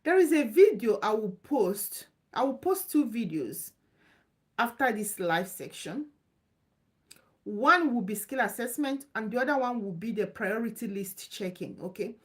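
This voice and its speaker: Woman, live stream host, loose chatty delivery, high-ish pitch. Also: animated, measured pace. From Nigeria. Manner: chatty, loose and repetitive, reassuring. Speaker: live stream host answering visa questions. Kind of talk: casual live Q&A.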